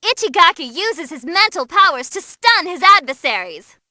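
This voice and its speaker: A woman voicing an excitable young female demon who commentates on tournament fights in a bubbly, theatrical way for a game. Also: very high-pitched, normal speed, no echo, studio quality, exaggerated voice. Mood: brisk, emphatic.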